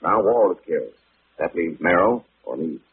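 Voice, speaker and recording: An American actor playing a cool, quick-witted gentleman sleuth in a 1940s radio drama, assured and urbane on a noisy old recording. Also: deep voice, expressive, breathy edge, phone-quality sound, full character voice.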